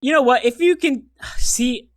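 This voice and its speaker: A man's voice: very high voice, a little slow. A man with an American accent in casual podcast chat, talking in a slangy, profane, joking way. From United States. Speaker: podcast co-host.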